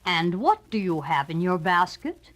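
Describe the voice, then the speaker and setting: A woman's voice: lowish voice, big pitch swings, a bit bright, voice pushed down. A woman voicing a sly male storybook wolf, sweet-talking and predatory, drawing out the words in a coaxing way. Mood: smooth, sly. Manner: drawn-out, coaxing delivery.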